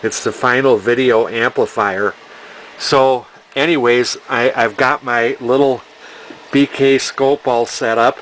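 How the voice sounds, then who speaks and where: A man's voice: mid-range voice, steady tone, slow, fairly bright tone, a little hiss or hum, rough recording. A man giving casual, hands-on repair commentary as a technician, plain-spoken and technical, thinking aloud as he works. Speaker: electronics repair technician and video host.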